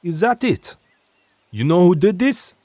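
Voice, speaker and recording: A man's voice: sits high, sing-song, measured pace, dark tone, dry recording, pitched above the performer's own voice. A man playing a guarded, indignant son back in his hometown, speaking curtly. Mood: angry.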